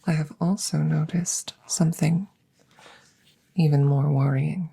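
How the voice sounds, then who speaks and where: A woman voicing a curious, cautious alien scientist in a soft, hushed ASMR roleplay, speaking formally and deliberately and slipping into whispers. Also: deep voice, steady tone, creaky, a little hiss or hum, full character voice. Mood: worried. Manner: measured, formal, deliberate phrasing.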